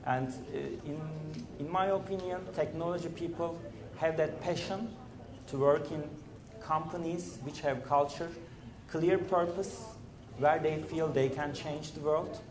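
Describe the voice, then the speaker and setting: A man's voice: a little high, a crowd in the background. Man, echoey hall. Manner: earnest, measured, frequent "you know" and "uh". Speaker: startup founder, conference panelist. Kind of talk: conference panel talk. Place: conference stage in a large hall.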